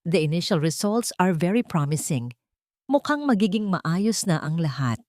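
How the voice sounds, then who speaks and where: A Filipino-accented woman voicing a reassuring hospital doctor in a drama story, measured and professional. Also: lowish voice, big pitch swings, a little mellow, no room sound, studio quality.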